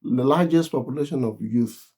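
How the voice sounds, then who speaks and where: A man's voice: mid-range voice, normal speed, mellow, husky. A Nigerian man with a Nigerian accent, talking to camera in a casual vlog and giving earnest, emphatic warnings with repeated phrases. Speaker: vlogger.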